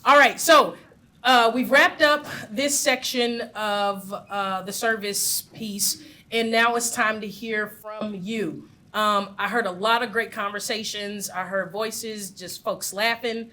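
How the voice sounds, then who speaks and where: A woman's voice: mid-range voice, fairly even intonation, slow pace, pushed and bright. A woman facilitating a community meeting on a handheld mic, upbeat and encouraging as she invites people to share. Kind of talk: live meeting hosting. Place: large meeting room with audience.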